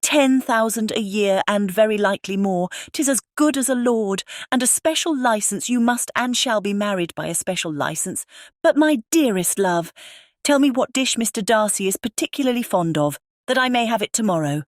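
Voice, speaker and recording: A woman voicing an excitable, fussy middle-aged mother in a classic novel audiobook, with effusive exclamations. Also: medium pitch, moderate pace, no room sound, clean studio sound, lightly acted. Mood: excited, fussing.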